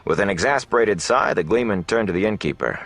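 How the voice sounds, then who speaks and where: Low-voiced male fantasy audiobook narrator. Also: lively intonation, brisk, fairly bright tone, no room sound, soft background music.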